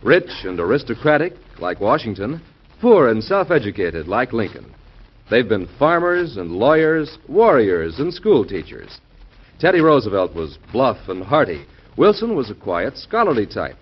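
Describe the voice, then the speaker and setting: A man's voice: medium pitch, sing-song, normal speed, breathy edge. A man hosting a radio drama in a folksy, rhetorical 1940s presenting style. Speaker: host and narrator of a radio drama. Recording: muffled vintage radio recording.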